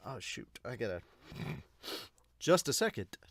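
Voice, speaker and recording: Animated man hosting a manga podcast. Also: mid-range voice, slow, slightly rough, no echo. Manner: informal, self-interrupting. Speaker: podcast host reviewing manga. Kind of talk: casual reaction chat.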